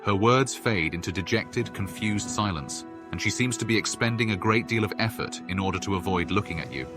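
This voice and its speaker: A man playing a solemn storyteller, reading scripted fantasy game narration in a measured, formal way. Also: fairly low pitch, natural voice. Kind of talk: scripted second-person narration. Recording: faint music behind.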